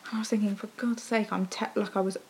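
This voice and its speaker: Fast-talking young woman, faint hiss. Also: medium pitch, steady tone. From Wales. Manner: quick, agreeable backchanneling, laughs. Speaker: podcast co-host. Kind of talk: casual podcast chat.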